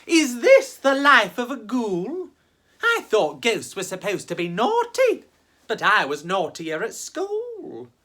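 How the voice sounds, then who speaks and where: A woman's voice: a little high, wildly swooping pitch, slow, fairly bright tone, full character voice. A woman voicing a naughty, lonely Victorian schoolgirl ghost in a storybook tale, wondering and wistful. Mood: disappointed.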